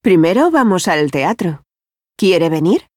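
An actress playing a friendly adult woman who works at a bank, speaking clearly and without hurry in language-course dialogues. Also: lowish voice, big pitch swings, unhurried, darkish tone, dry recording, clean studio sound. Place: dry studio.

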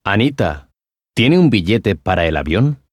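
A man's voice: on the low side, sing-song, measured pace, husky, no room sound, full character voice. An actor playing a curious, friendly young man in language-course dialogues, chatty and full of questions. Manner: chatty, inquisitive. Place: dry studio.

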